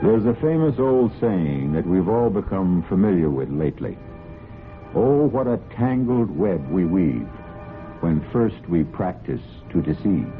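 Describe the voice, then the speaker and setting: A tinny old radio broadcast with faint music carries a male mystery host. His very deep voice narrates with suspenseful, theatrical deliberation and wide swings of pitch. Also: sing-song, measured pace.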